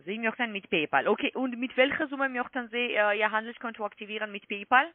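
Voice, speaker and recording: A woman with a foreign accent in German, a non-native speaker, making an insistent scripted sales pitch as a call-centre agent, with repeated tag questions. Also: brisk, dry recording. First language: not German. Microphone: phone call. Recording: muffled tinny phone line.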